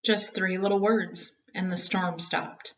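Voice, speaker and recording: A woman's voice: medium pitch, level tone, slow. Woman, children's storybook reader, dramatic emphatic phrasing, muffled sound, away from the mic. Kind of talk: expressive children's storybook reading. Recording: dull, distant home-style recording.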